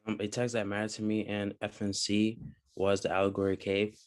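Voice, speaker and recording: Low, monotone young man, halting. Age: young adult. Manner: halting, many filler words. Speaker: program alumnus.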